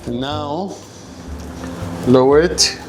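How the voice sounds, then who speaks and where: A man's voice: a little high, extremely slow, noisy background. A man from Egypt giving a casual, chatty cooking demonstration as a home cook, improvising as he goes and checking in with his listeners. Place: kitchen, while cooking. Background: guitar music.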